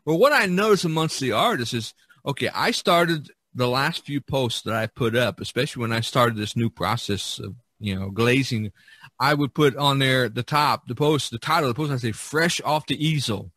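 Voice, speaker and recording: A man's voice: medium pitch, moderate pace, a bit bright, slightly rough, no room sound. A man hosting a podcast, talking in a casual, rambling, conversational way. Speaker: podcast host.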